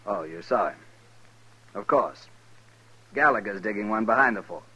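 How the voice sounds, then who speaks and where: Rigid old-guard cavalry captain, bright forceful voice, formal, smug, coldly sardonic, vintage radio drama. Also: male voice, on the low side, expressive, normal speed, husky, highs cut off, exaggerated voice. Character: cold, smug, rigid veteran Army captain. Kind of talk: 1950s radio western acting.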